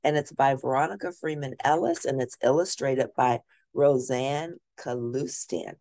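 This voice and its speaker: A woman teacher reading a children's picture book aloud at a deliberate pace, pausing to ask her listeners questions. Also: extremely low pitch, a little mellow, dry recording, clean studio sound.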